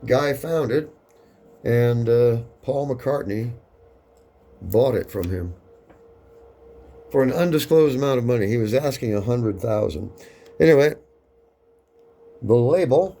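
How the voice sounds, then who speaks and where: A man giving a casual, matter-of-fact hands-on demonstration, narrating each step aloud in an unhurried way. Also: mid-range voice, animated, warm tone. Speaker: record cutting demonstrator. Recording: clear background noise.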